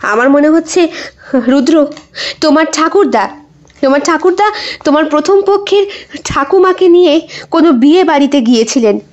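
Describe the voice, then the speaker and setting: A bewildered young wife in an audio thriller, acted by a woman storyteller, high in pitch and uneasy. The words come haltingly with repeats, on a slightly muffled recording. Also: lively intonation, a little mellow. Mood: dawning realisation.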